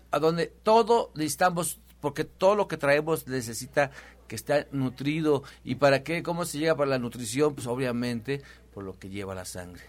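A man hosting a promotional health talk, speaking loosely with many repeats and fillers. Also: a little high. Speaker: host of a health show.

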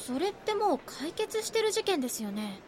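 A woman's voice: extremely high pitch, big pitch swings, moderate pace, slight background noise. A woman playing an ambitious, insistent young rookie magazine reporter in a Japanese voice drama. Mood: curious. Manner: earnest, insistent.

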